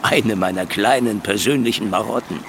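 An actor playing a wisecracking, ironic private detective in a noir radio play, drawling taunts and mock endearments. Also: male voice, lowish voice, normal speed, gravelly, noisy background. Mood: sardonic, probing. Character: sardonic, wisecracking hard-boiled male private detective. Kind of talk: radio play acting with noir first-person narration.

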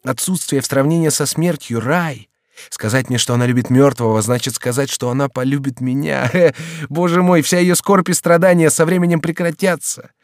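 A man's voice: fairly high pitch, steady tone, brisk, no echo, studio quality, voice pushed up, close to an everyday voice. A man reading aloud and voicing a lovesick young count, impassioned and pleading. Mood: feverish hope.

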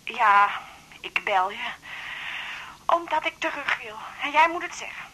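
A woman's voice: on the high side, expressive, a little slow, pushed and bright, breathy edge, exaggerated voice, poor audio. An actress playing a flustered, old-fashioned middle-aged mother in a radio drama, chatting hesitantly over the telephone. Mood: flustered, embarrassed. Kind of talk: 1980s Dutch radio drama acting.

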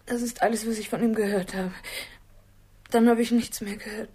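A woman's voice: a little high, fairly even intonation, normal speed, mellow, a little hiss or hum, lightly acted. A woman playing an anxious, submissive young waitress, timid and hesitant, in a 1960s German radio drama. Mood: distressed. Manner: timid, hesitant, brief answers.

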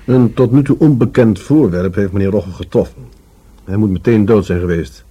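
An actor playing a matter-of-fact police detective in a radio play, formal, blunt and factual. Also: male voice, on the low side, normal speed, husky, muffled recording. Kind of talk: Dutch radio play acting.

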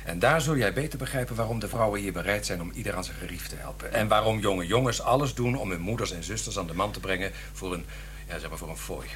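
An actor playing a worldly Dutch expatriate guide in a radio drama, explaining things bluntly. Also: male voice, fairly low pitch, quick pace, fairly bright tone, close to an everyday voice. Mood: blunt, helpful. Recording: clear background noise and hum.

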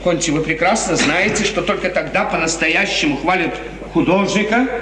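An actor playing an imperious, moody, lovestruck prince in a declamatory 1950s theatrical radio drama style. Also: male voice, sits high, normal speed, noisy background, close to an everyday voice. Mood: enraptured. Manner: declamatory, exclamatory, haughty. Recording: echoey hall, distant stage microphone.

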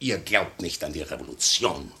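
An actor playing a bitter, uncompromising Russian revolutionary in a declamatory 1950 radio play style. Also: male voice, very deep voice, lively intonation, normal speed, vocal fry, bright, forceful tone, heavily acted. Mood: fanatical, scornful. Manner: declamatory, emphatic, rhetorical. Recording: vintage 1950 radio recording.

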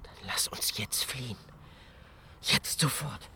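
Ardent young lover, bright and tense. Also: male voice, medium pitch, takes it slowly. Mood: urgent, hushed. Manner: urgent, half-whispered, pressing. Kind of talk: German radio play acting.

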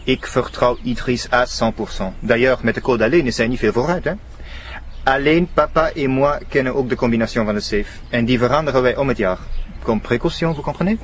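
An actor playing a suave, wealthy, effusive husband with a French accent in a radio drama. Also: male voice, medium pitch, fairly quick, slight background noise, natural voice. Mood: affable, defensive. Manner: effusive, peppering talk with French. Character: suave wealthy French-speaking husband, genial, affected host.

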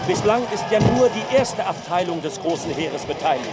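A battle reporter in a radio play, his voice pitched high. A loud crowd and heavy outdoor noise surge behind his commentary. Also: very animated, fairly quick. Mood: urgent reporting. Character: male reporter describing a medieval battle.

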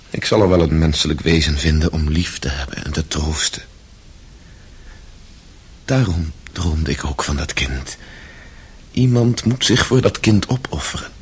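Husky, brooding deep-voiced accused man. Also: exaggerated voice. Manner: slow, brooding, confessional. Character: tormented accused man, brooding, passionate, self-loathing Russian prisoner. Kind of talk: radio drama acting.

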